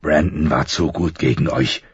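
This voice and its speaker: A grave, stern nobleman in a fantasy audiobook , voiced by a man. His voice is deep and very husky. He questions gravely, in a slightly dull recording. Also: normal speed, no echo, exaggerated voice. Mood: angry. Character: stern noble lord, bewildered husband, quick to anger.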